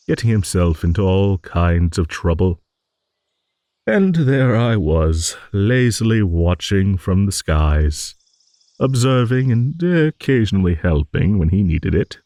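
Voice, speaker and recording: A man's voice: sits low, very animated, measured pace, no room sound, exaggerated voice. A man voicing a rambling old dragon, genial, wistful and a little vain, in an improvised fantasy audio monologue. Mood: fond reminiscing. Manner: rambling, musing, with hums and soft chuckles.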